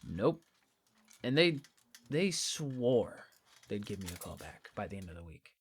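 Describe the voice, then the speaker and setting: Actor playing casual young skeptic, very lively pitch. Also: a little slow, a little hiss or hum. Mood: dry, casual. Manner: hesitant, casual, questioning. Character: casual, curious, skeptical jobless young friend. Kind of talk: naturalistic audio fiction dialogue.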